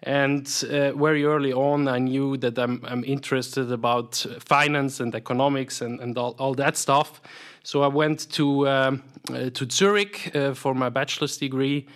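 Man, Swiss accent, thirties, interview guest, hesitant, lots of uh and um, mid pitch. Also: steady tone, normal speed. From Switzerland. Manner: hesitant, many fillers and repeated words. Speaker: private equity investment professional, guest. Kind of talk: conversational interview answers.

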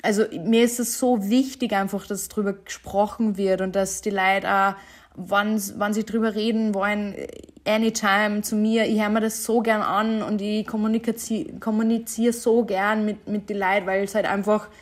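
A woman's voice: mid-range voice, level tone, normal speed. A young woman, a singer-songwriter answering interview questions in a chatty way, with many fillers and frequent laughs.

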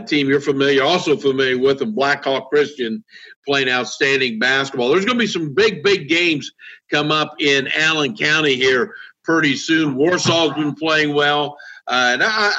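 A man, a guest commentator on high school basketball, speaking casually with many "uh" fillers. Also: pushed and bright. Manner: halting, heavy use of "uh" fillers. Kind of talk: casual sports talk. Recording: dull, lo-fi audio.

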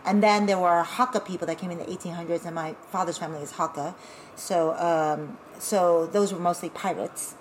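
A woman from Taiwan who grew up speaking Taiwanese, talking as a guest in a casual interview, animated and chatty with laughing asides. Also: lowish voice, normal speed, a little hiss or hum, poor audio. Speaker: guest, burlesque performer.

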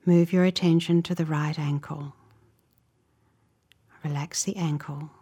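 A woman guides a relaxation meditation with a soothing, gentle manner. Her voice is fairly low and breathy, often close to a whisper. She takes her time, delivering each short instruction slowly and evenly. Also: fairly even intonation.

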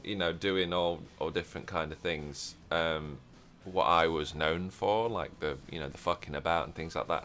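A man's voice: a bass voice, sharp tone. A man hosting a roleplay podcast, chatting casually and rambling with many fillers and restarts. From Europe. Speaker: podcast host.

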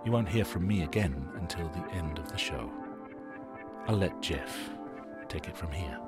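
A man's voice: low-pitched, animated, normal speed. A man hosting a radio show, giving scripted narration to introduce an audio documentary. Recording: music behind the voice. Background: music.

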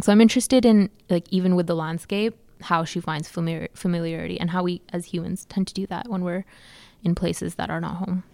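Soft-spoken young woman, gravelly low voice. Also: dry recording. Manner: soft-spoken, hesitant with many fillers. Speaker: filmmaker interviewee. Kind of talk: casual interview answers.

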